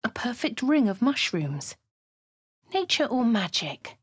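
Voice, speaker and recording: An actress voicing a posh young woman adventurer in a game, speaking in a measured, composed way with a posh English accent. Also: a little high, sing-song, slightly rough, dry recording, studio quality, heavily acted. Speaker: player character. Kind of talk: game voice lines.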